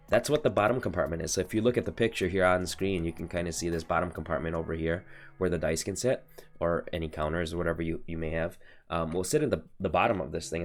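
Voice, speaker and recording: An American man in his thirties, stuffy from allergies, rambling through a casual product review on a home stream with lots of fillers and self-corrections. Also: sits low. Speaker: streamer reviewing card game accessories. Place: home desk room.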